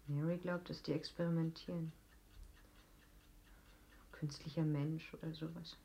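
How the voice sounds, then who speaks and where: A woman's voice: deep voice, flat delivery, unhurried, gravelly, warm tone, slight background noise. An actress playing a wary woman who talks in a subdued, suspicious way in a radio drama. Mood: hushed, suspicious.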